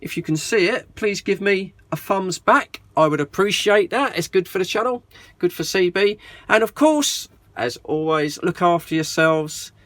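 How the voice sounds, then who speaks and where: A man's voice: high-pitched, normal speed, fairly bright tone, no room sound. A man hosting a hobby radio video with casual, chatty, informal commentary.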